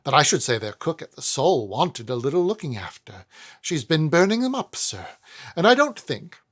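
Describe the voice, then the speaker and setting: A man's voice: a little high, big pitch swings, moderate pace, fairly bright tone, breathy edge, pitched above the performer's own voice, heavily acted. A man doing a fussy, nervous stationer. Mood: fussy, uneasy. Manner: fussy, hesitant, sniffing. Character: timid, nervous middle-aged male stationer.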